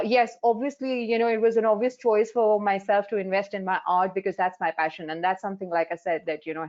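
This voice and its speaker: A woman's voice: medium pitch, dull audio. A woman in her forties from India, whose first language is Hindi, telling her career story frankly, with many fillers, on a webinar panel. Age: 40s. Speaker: life coach. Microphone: video call.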